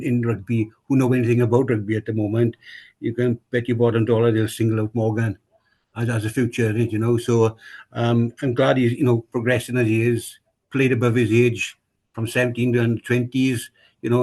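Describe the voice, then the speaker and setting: A man's voice: mid-range voice, little pitch movement, a little fast, a little mellow. A man with a Welsh accent, a rugby club chairman, talking casually on a podcast, rambling and repeating himself with many fillers.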